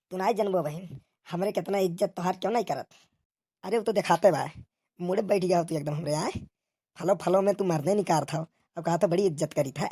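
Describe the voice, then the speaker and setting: A woman's voice: lowish voice, dark tone, no room sound, clean studio sound. A woman voicing bickering village policemen in a comic cartoon, with an eastern Uttar Pradesh accent and exclamatory, colloquial banter. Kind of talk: comic cartoon dialogue in rustic dialect.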